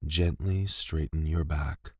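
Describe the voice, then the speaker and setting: Male meditation guide, low-pitched, gentle and unhurried delivery of scripted lines, very dry close sound. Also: steady tone, no room sound. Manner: gentle, soothing, deliberate with pauses between phrases.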